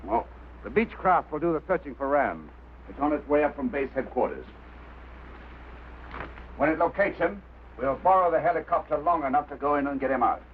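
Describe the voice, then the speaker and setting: An actor playing a brusque, impatient, skeptical mining company boss, commanding and dismissive, in mid-century film drama style. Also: male voice, fairly high pitch, animated, normal speed, pauses often, narrow, tinny audio, close to an everyday voice. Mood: curt. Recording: thin, noisy old film soundtrack.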